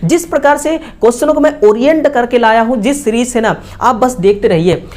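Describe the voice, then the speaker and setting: A woman with an Indian accent teaching exam questions, repeating key facts as quick rhetorical questions with frequent checking tags. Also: on the high side, a little mellow. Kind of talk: exam question analysis lesson.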